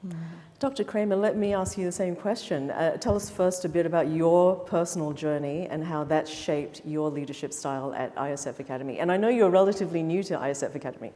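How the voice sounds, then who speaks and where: A woman's voice: low-pitched, quick pace, dark tone. A middle-aged woman moderating a panel, friendly and guiding the questions. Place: event hall before an audience. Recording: reverberant event hall.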